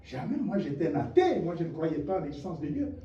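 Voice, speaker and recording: A man preaching a sermon, impassioned, dropping to low murmurs and rising to shouted rhetorical questions. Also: big pitch swings, fast, slight background noise. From DR Congo. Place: church hall. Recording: echoey, distant, dull lo-fi church recording.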